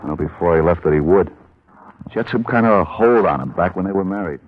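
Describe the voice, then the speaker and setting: A man's voice: deep voice, brisk. An American actor playing a weary, blunt working-class family man in a 1950s radio drama, plain-spoken and colloquial. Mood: resigned, bitter. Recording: muffled, lo-fi old radio recording.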